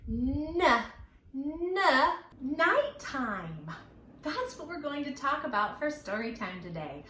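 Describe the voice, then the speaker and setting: High-voiced woman hosting children's storytime, roomy sound. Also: sing-song, slow, a bit bright. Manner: encouraging, playful, exaggerated enunciation for young children. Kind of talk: children's lesson with songs and questions.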